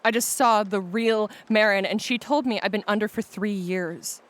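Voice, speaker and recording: A woman's voice: mid-range voice, normal speed, natural voice. A woman acting a distraught, desperate patient trapped in a virtual reality, pleading and accusing, in an audio drama. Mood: hurt, accusing.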